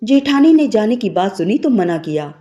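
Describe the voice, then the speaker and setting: A woman's voice: mid-range voice, sing-song, moderate pace, mellow. A woman narrating a scripted, sentimental moral story as a storyteller. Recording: music bed behind the voice. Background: background music.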